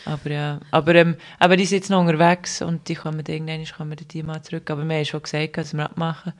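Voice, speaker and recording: A young woman speaking with a Swiss German accent, talking as a guest on a casual podcast. She rambles along in a fairly flat, even tone, circling back and restarting her sentences with plenty of fillers. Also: deep voice, fast. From Switzerland. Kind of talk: casual conversational interview.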